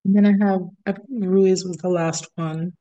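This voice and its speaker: A woman's voice: fairly low pitch, steady tone, unhurried, warm tone, dull audio. A woman hosting a casual community meeting over a video call, friendly and keeping things moving. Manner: friendly, moves things along. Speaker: meeting host.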